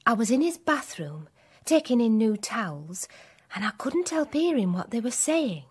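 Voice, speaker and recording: An actress playing a nervous, apologetic young housemaid in a radio play. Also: female voice, a little high, very animated, moderate pace, breathy edge.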